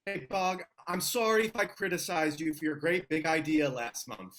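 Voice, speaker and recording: A woman's voice: low-pitched, normal speed, natural voice. A woman playing a cocky, taunting male orc crossbowman with a smug, needling manner in a fantasy comedy table read.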